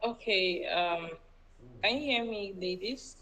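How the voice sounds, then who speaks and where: A woman's voice: mid-range voice, slow pace, sharp tone, rough recording. A woman with a Nigerian accent giving an informal, unscripted welcome speech as a founder, in a conversational way. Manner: conversational, often checking with "right?".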